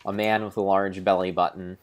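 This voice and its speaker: A man giving a grave, theatrical, storybook-style narration of video game play, in deliberate phrases with pauses. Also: deep voice, flat delivery, lo-fi sound. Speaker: streamer narrating his gameplay.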